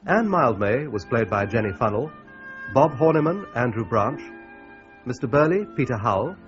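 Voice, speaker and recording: Formal British radio announcer, faint music behind him. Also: male voice, medium pitch, big pitch swings, moderate pace, lo-fi sound. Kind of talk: formal scripted credits reading.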